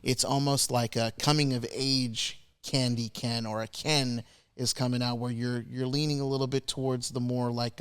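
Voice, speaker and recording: A man's voice: medium pitch, clean tone. A man hosting a podcast, asking questions in a chatty, agreeable way. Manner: chatty, agreeable, many "right"s. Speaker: podcast host. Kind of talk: casual podcast interview.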